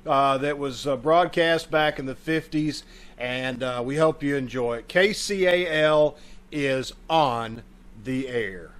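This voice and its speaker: A man's voice: medium pitch, takes it slowly, a bit bright. A man giving a casual spoken introduction and announcements as host of a community radio theater show, speaking over a video call.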